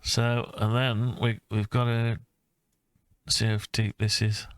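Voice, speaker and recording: A man talking through his own design project informally, thinking aloud with hesitant, halting phrases. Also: fairly low pitch, little pitch movement, smooth, dry recording, studio quality. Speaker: hobbyist maker presenting own design project. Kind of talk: informal screen-recorded CAD design walkthrough.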